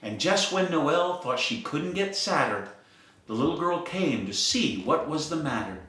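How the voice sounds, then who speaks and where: A man reads a rhyming picture book in a sing-song, expressive way. His voice is high and very lively, and he takes his time. The tone is bright and the room is very echoey. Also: unhurried. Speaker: storyteller. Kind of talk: children's picture book read-aloud.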